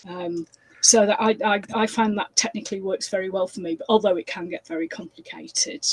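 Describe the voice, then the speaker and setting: A woman's voice: mid-range voice, steady tone, brisk, slightly rough, no room sound, low-quality recording. A woman in her sixties with a Yorkshire accent, chatting as a crime author over a video call with frequent fillers and restarts. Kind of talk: casual interview chat.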